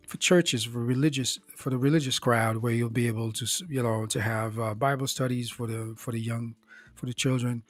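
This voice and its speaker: A slightly hoarse man talks through a list of projects in a loose, halting way, full of "uh"s and restarts. His voice sits at a middle pitch and is recorded close and dry, with faint music underneath. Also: dry recording. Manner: halting, many fillers and restarts, conversational. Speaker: startup founder presenting his projects. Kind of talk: casual spoken rundown of projects.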